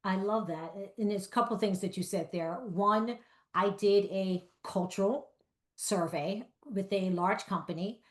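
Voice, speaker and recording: A woman moderating a conference fireside chat, lively and quick to agree with her guest. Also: medium pitch. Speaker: interviewer, moderator.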